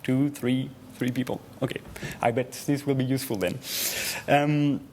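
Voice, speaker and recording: French-accented man giving a halting presentation. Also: medium pitch, steady tone, a little mellow, a little hiss or hum. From France. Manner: hesitant, frequent "uh" fillers and restarts. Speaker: speaker presenting to an audience. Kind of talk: presentation talk.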